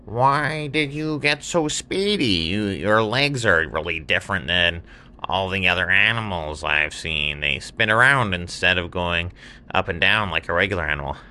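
Guy doing a curious friendly pig for a kids bedtime story, really bright voice, wide-eyed. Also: deep voice, normal speed.

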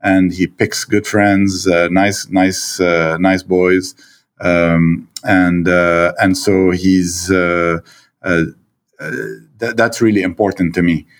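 A man's voice: low voice, little pitch movement, takes it slowly. A middle-aged man with a Lebanese accent, a guest in an interview, talking in a hesitant way with many fillers and restarts. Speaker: guest, stepfather. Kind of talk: conversational interview.

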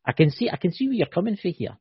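A man co-hosting a podcast, husky in tone, tossing out one wild theory after another and checking in with "right?" His mid-range voice sounds dull and lo-fi, captured dry with no room around it. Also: sits high, rapid-fire, dry recording. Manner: animated, speculative, keeps asking "right?". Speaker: podcast co-host. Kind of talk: casual chat.

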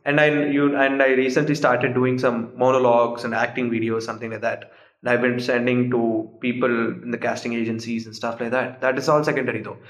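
Fast-talking young South Indian man, echoey room. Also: medium pitch, little pitch movement. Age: young adult. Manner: chatty, laughing, mixing in Telugu. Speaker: podcast guest. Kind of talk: casual chat.

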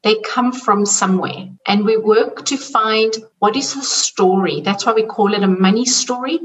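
A woman's voice: medium pitch, normal speed, slight background noise. South African woman, distant from the mic. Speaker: podcast guest, money coach. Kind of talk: conversational interview answers.

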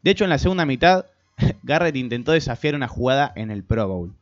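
A man's voice: medium pitch, sing-song, a little fast. A man co-hosting a casual sports podcast, reading statistics steadily with frequent "eh" fillers, with an Argentine Spanish accent. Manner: lists numbers steadily with many fillers. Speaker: podcast co-host. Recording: muffled lo-fi podcast audio.

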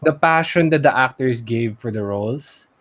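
Filipino man in casual podcast chat, mid-pitched. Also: normal speed, a bit bright. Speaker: podcast co-host. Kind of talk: casual movie discussion chat.

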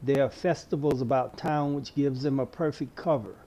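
Male narrator for radio play: medium-pitched, dark mellow tone, scripted read, faint background noise. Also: fairly even intonation, moderate pace, rough recording. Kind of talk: scripted audio drama narration.

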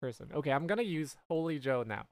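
A woman's voice: low-pitched, animated, fast talker, a crowd in the background. A woman co-hosting a podcast in casual, playful chat, giggling and trading slang words with her friend. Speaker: podcast co-host.

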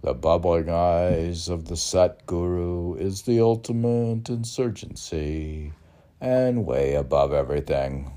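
An older man reading his own poetry aloud in a halting manner, mixing recitation with casual asides. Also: sits low, mellow. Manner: halting, spells out and repeats words. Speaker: poet reading own work.

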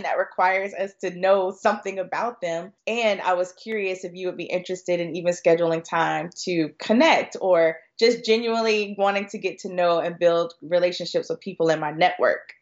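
A woman's voice: medium pitch, dull audio. An American woman answering conversationally as an expert guest on a podcast, chatty and inclined to act out short quotes. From Florida, United States.

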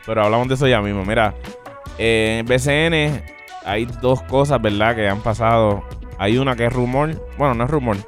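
A man with a Puerto Rican accent co-hosting a casual sports podcast, teasing his partner and often repeating himself in quick denials. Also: mid-range voice, soft background music. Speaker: podcast co-host.

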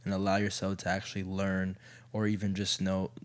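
A young man from Kenya co-hosts a casual podcast. His voice is very deep, and he comes across as supportive, often chiming in with short words of agreement. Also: monotone, a little fast. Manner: supportive, frequent short affirmations. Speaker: podcast co-host. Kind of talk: casual conversational chat.

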